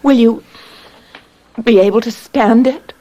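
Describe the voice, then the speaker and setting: An American actress playing an anxious, devoted wife in a radio drama. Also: female voice, takes it slowly, warm tone, highs cut off. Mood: fearful, pleading. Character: anxious, devoted wife of a secretive government man. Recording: noisy vintage radio drama, car rumble.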